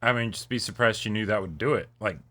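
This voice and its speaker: A man hosting a reaction show, giving casual, excitable live commentary and repeating short phrases. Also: on the low side, fast talker, over loud music. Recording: loud film soundtrack behind.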